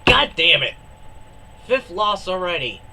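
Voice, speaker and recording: A man's voice: high voice, very animated, unhurried, creaky, slight background noise. A man with a head cold giving casual reaction commentary, exasperated, swearing and groaning. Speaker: reaction video host. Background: loud music.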